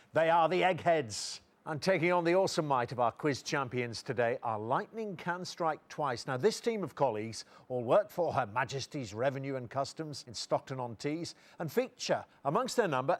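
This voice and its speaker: Brisk upbeat man hosting a quiz. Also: on the high side. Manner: brisk, upbeat, lively questioning. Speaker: quiz show host.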